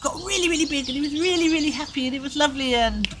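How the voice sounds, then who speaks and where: A woman telling a children's story expressively, with dramatic pauses and chatty asides. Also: sits high, background noise.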